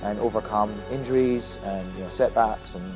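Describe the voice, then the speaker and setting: A young man from Scotland who grew up in Australia, a Muay Thai fighter and coach, talking casually in a gym interview with many fillers. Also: lowish voice. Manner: quick, chatty, many fillers and restarts. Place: boxing gym, indoors. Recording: music bed under voice.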